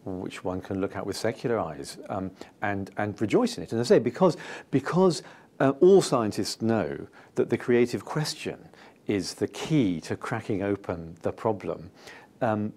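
A British man in his fifties explaining as an interview guest, enthusiastic and emphatic, with halting phrasing. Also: on the low side, animated, a little mellow. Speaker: interview guest, scientist and author. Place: indoor TV-style studio.

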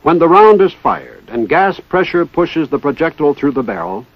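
A man giving formal, precise, scripted narration in the style of a 1960s military instructional film, with measured enunciation. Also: on the high side, big pitch swings, dry recording. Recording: dull 1960s training film soundtrack.

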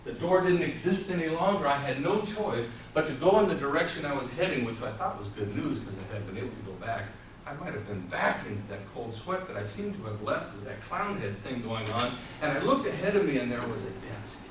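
A middle-aged man giving a theatrical tall-tale speech to a live audience, dramatic and deliberate, with pauses and repetition. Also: medium pitch, animated. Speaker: storytelling contestant at a public speaking club. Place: small meeting room with whiteboard and audience, indoors. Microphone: far camera microphone. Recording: roomy echo, distant mic, clearly noisy.

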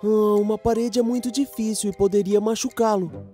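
A woman's voice: mid-range voice, steady tone, normal speed, dark tone, faint music behind, close to an everyday voice. A woman voicing a young, cheerful male tank engine in a children's cartoon, speaking Brazilian Portuguese with an eager, upbeat manner. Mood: thinking, determined. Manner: eager, wide-eyed, upbeat. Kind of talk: animated children's cartoon dialogue.

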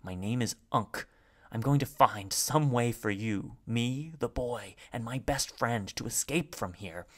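Man acting out gruff, furtive soldier on the run. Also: medium pitch, a little slow, close to an everyday voice. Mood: urgent, hushed. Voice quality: gruff, often whispered. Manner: secretive, urgent, sharp. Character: furtive, intense soldier deserter posing as messenger.

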